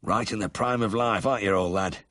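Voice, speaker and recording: A man voicing a bedridden, cheerful ex-miner with a husky South Yorkshire accent in a story reading. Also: lowish voice, brisk, dry recording, clean studio sound, pitched above the performer's own voice, close to an everyday voice. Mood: fond, chatty. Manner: plain-spoken dialect, warm and uncomplaining.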